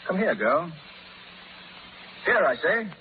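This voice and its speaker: Suave pirate captain, lively old-radio voice. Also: male voice, high voice, takes it slowly, heavily acted. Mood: swaggering. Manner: suave, ironic, crisply theatrical. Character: cool, shrewd, swaggering gentleman pirate captain. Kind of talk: 1930s radio acting. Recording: scratchy, tinny 1930s radio recording.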